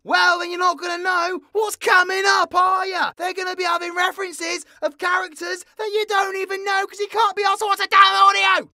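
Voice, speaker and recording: A man's voice: extremely high pitch, flat delivery, brisk, few pauses, sharp tone, dry recording, raised voice, clean studio sound, full character voice. A man voicing a cheeky, foul-mouthed demon mascot with a British accent, ranting and scolding in a comic audio skit. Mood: scolding, indignant. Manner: brash, ranting, sweary. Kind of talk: comic skit rant to listeners.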